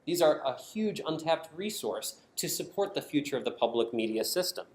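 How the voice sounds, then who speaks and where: A man's voice: a little high, lively intonation, normal speed. A man giving a prepared conference presentation, explaining his points with emphatic stresses. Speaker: conference presenter, design team member at a public radio network. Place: indoor conference talk. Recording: echoey room sound.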